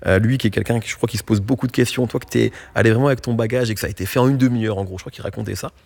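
Male radio host, low gravelly husky voice, loose conversational questioning. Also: rapid-fire, a little mellow. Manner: conversational, rambling questions with fillers. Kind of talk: radio interview.

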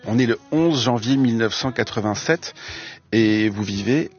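A man hosting an interview, asking questions in a calm, measured way. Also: medium pitch, lo-fi sound, faint music behind. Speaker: interviewer, host.

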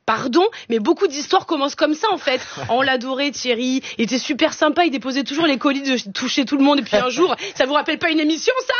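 A woman's voice: sits high, quick pace, few pauses, dry recording. A woman with a French accent delivering a self-mocking, theatrical comic monologue as a radio columnist. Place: radio studio. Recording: slightly dull, dry studio sound.

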